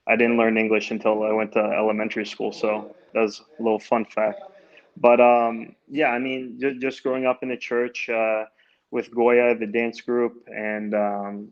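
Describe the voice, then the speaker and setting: A young man in his twenties whose first language is Greek, answering interview questions in an easygoing, halting way over a video call. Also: lowish voice, little pitch movement, lo-fi sound. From United States. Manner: easygoing, hesitant with many restarts. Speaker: guest, amateur runner and goalkeeper.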